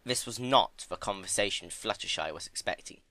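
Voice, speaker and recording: Expressive male storyteller, bright and dry. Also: fairly low pitch, normal speed, dry recording. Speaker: narrator. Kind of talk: dramatic scripted narration of fan fiction.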